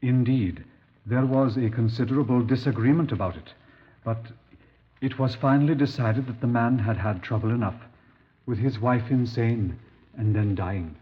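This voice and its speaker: An actor playing a deferential, earnest police sergeant with respectful, formal phrasing in a 1950s radio drama. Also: male voice, medium pitch, normal speed, natural voice. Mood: careful, earnest. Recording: dull old 1950s radio recording.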